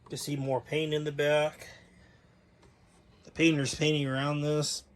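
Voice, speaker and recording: A man's voice: on the high side, level tone, unhurried, slight background noise. A man giving a casual, unscripted overview as a hobbyist video host, rambling and correcting himself as he goes.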